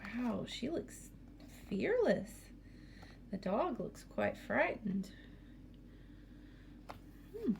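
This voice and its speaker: Slow-paced woman reading to children. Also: fairly high pitch, creaky, slight background noise. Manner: expressive, with questions to young listeners. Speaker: teacher reading to students. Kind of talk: picture book read-aloud with asides to children.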